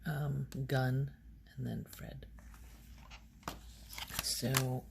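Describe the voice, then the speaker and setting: Soft spoken asmr lady, kinda low creaky fry, takes her time, hesitant rambly thinking out loud. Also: flat delivery, extremely slow, noisy background. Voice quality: soft, breathy, often slipping toward a whisper. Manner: hesitant, musing, thinking aloud. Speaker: ASMR video creator.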